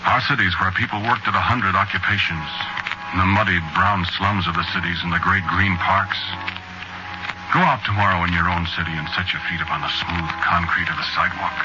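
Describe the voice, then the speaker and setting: An actor playing a solemn reporter from a perished world, narrating a 1940s radio drama with measured, oratorical delivery. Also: male voice, low-pitched, steady tone, music in the background, lightly acted. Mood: solemn, mournful. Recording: muffled 1940s radio broadcast recording.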